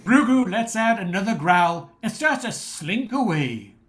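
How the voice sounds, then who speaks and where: A woman's voice: medium pitch, very animated, unhurried, slight background noise. A woman reading scripted narration for a radio drama, as the storyteller between the characters' lines.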